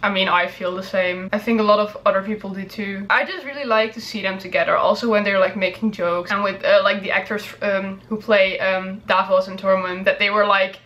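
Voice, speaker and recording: A woman's voice: medium pitch, steady tone, brisk, hardly any pauses, fairly bright tone, dull audio. A woman chatting casually to viewers as a reaction video host, rambling and hedging as she goes.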